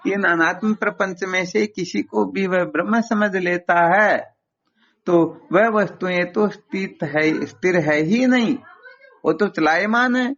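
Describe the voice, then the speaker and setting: A man's voice: sits high, a little slow, fairly bright tone. A man giving a didactic spiritual lesson over a phone call, explaining step by step and posing rhetorical questions. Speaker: Vedanta spiritual teacher. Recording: muffled lo-fi phone call recording.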